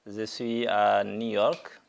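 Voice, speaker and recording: A middle-aged man from Guinea making a deliberate public statement, speaking haltingly with frequent pauses and fillers. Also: on the low side, little pitch movement, vocal fry, dull audio. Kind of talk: slow, deliberate video statement.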